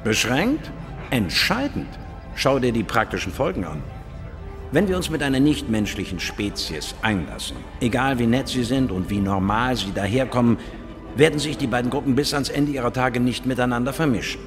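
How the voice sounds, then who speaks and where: An actor playing a confident, worldly biologist in a science fiction radio drama, dry and explanatory. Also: male voice, mid-range voice, animated, moderate pace, lightly acted. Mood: wry, emphatic. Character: confident, worldly male biologist and space investigator. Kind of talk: radio drama dialogue and first-person narration. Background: music.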